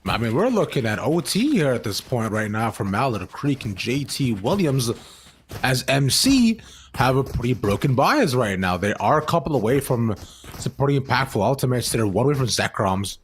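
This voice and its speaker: A man's voice: medium pitch, big pitch swings, normal speed, slight background noise. A man doing live esports commentary on a video game, with casual banter.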